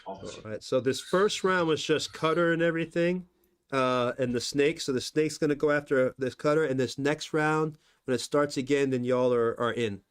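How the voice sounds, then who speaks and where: A man's voice: a little high, fairly even intonation, normal speed, clean tone. Chatty man running a tabletop game. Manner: loose, chatty, fills gaps with "you know". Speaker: game master.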